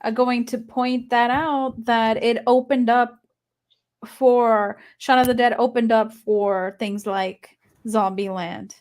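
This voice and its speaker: A woman with a Mexican accent hosting a film podcast, talking in an easygoing, unhurried way. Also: a little high, fairly even intonation, a little mellow. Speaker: podcast host. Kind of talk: casual film discussion.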